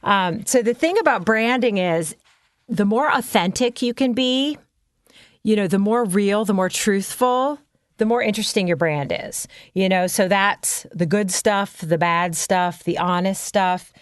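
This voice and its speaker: A woman brand consultant talks through her coaching process in a loose, chatty way, filling pauses with ums and you-knows. Her pitch sits in the middle range, and the recording is dry and close with no room sound. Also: no room sound. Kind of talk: casual coaching chat.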